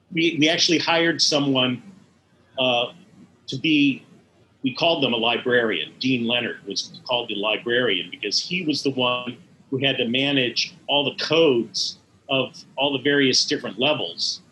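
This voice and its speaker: A man's voice: mid-range voice, bright, forceful tone, a little hiss or hum, poor audio. A man being interviewed as an animator, explaining his past work in careful detail as he recollects it.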